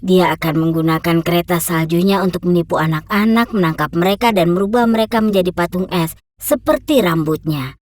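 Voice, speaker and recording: A woman plays an old storybook grandmother for a children's cartoon. Her voice sits a little low and is very dry and close. She is kindly but grave, giving slow, earnest warnings. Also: a little mellow, dry recording, natural voice.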